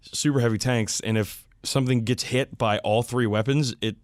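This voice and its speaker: A man's voice: mid-range voice, steady tone, normal speed, dry recording. A man chatting casually as a podcast co-host, griping in a profane, exasperated way. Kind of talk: casual chat about tabletop wargaming.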